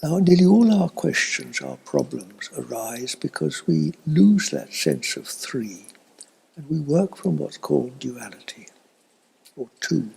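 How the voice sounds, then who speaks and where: An elderly man giving a slow, reflective spiritual talk in a husky, breathy voice that often fades toward a whisper, with long thoughtful pauses. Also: fairly high pitch, huge pitch swings, a little mellow, low-quality recording. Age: older, elderly. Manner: contemplative, halting with long pauses. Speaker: spiritual teacher.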